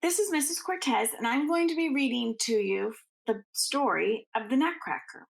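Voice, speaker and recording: A woman reading a storybook aloud to children as a teacher, with expressive, deliberate phrasing and informal asides. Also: high voice, gravelly.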